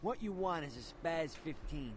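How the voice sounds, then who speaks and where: A man's voice: on the high side, expressive, normal speed, faint music behind, lightly acted. An actor playing a cocky, streetwise underworld fixer and arms dealer in a video game, talking in tough-guy slang. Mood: businesslike.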